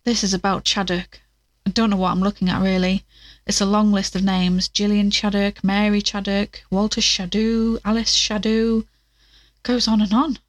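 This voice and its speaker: A woman's voice: mid-range voice, level tone, normal speed, no echo, close to an everyday voice. A woman playing a plucky, curious investigator in an audio drama, chatting to listeners with wry asides as she searches. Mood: puzzled, tense.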